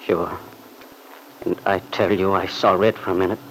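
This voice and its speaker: An actor playing a jealous, hot-blooded young husband in an old-time radio drama, brooding and intense, talking in plain colloquial speech. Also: male voice, low-pitched, level tone, normal speed. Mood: brooding jealousy. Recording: noisy vintage radio recording.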